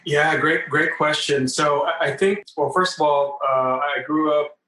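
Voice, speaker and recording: A man's voice: on the high side, fairly even intonation, measured pace, bright, forceful tone. A man in his forties, originally from the Philippines, speaks earnestly as a podcast guest, often repeating words as he starts a phrase. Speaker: podcast guest, real estate investor. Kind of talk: conversational interview. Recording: roomy, distant sound.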